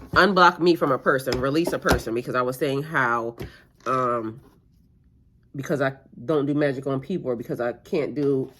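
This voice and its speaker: A middle-aged woman, a tarot reader, talking casually to her viewers in a meandering way with lots of fillers and restarts, her voice a little stuffy-nosed. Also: rumbling low. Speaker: tarot reader and spiritual coach. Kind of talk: casual rambling monologue to camera.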